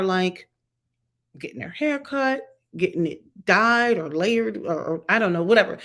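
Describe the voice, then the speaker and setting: Blunt chatty middle-aged woman. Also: fairly high pitch. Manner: chatty, candid, blunt, with mocking asides. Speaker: vlogger reviewing a TV show. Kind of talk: casual opinion commentary.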